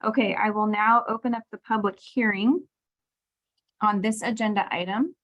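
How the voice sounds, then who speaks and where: A woman serving as mayor chairs a city council meeting in an orderly, formal way. She reads agenda items and calls on councilmembers in turn. Her pitch sits in a middle range. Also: steady tone, moderate pace, dull audio.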